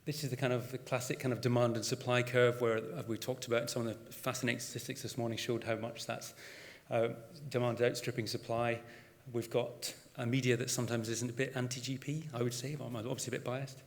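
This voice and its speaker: Scottish male GP, conversational presenter, mid pitch, big reverberant hall. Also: little pitch movement, a little fast. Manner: conversational, with frequent restarts and fillers. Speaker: GP and conference speaker. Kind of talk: conference presentation. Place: large conference hall.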